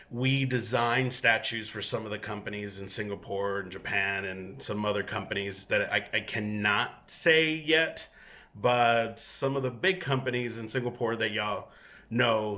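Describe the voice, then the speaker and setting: Bright-voiced man. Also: medium pitch. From Mexico. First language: Spanish. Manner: easygoing and chatty, with many filler pauses. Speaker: featured guest collector. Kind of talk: casual self-introduction and collection tour. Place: his home collection room.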